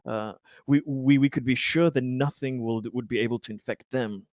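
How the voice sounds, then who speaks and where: A man's voice: medium pitch, fairly even intonation, moderate pace, a little mellow, dry recording, studio quality. A man playing a tabletop roleplaying game, improvising conversation in a hesitant, dryly humorous way with repeated words.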